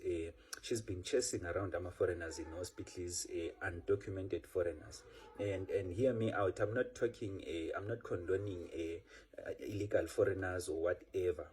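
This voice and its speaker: Deep, dark-voiced South African commentator. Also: male voice, slightly rough. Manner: rambling, frequent "eh" fillers, mixes in Zulu. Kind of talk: casual news commentary.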